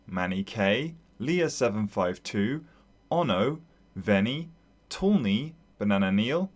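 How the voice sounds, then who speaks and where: A man giving casual commentary on a game playthrough as the host of a gaming video. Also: mid-range voice.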